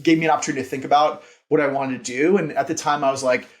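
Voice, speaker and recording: A man's voice: a little high, fairly even intonation, very fast. An American man in his thirties telling career stories in a casual interview, rambling and earnest, with "like" peppered through his sentences. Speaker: podcast guest, company founder and CEO.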